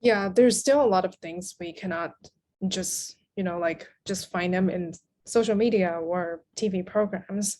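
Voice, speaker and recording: A woman's voice: on the low side, unhurried, darkish tone, a little hiss or hum. A woman from Taiwan, a guest on a podcast, speaking thoughtfully in casual conversation. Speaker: guest translator.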